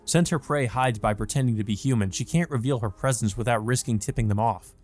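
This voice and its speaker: A man's voice: mid-range voice, a little fast, darkish tone, faint music behind, natural voice. A man voicing an earnest young male student who narrates his thoughts in a visual novel. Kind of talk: visual novel monologue read aloud.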